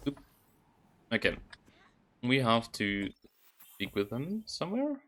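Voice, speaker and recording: A man giving casual live game commentary, reacting haltingly as he talks to himself and his viewers. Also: mid-range voice, wildly swooping pitch, fairly bright tone. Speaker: game streamer.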